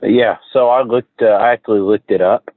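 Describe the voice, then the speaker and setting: A man's voice: on the low side, fairly even intonation, normal speed. A man taking part in a casual panel discussion, chatting as one of the guests over a remote-sounding line. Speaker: podcast panelist. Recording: tinny phone-like line, roomy echo.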